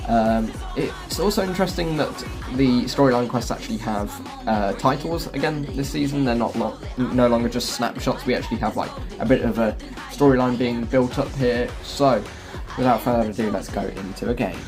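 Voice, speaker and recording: A man's voice: mid-range voice, moderate pace. A man hosting a gaming video, giving casual, chatty guide commentary and explaining as he goes. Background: music.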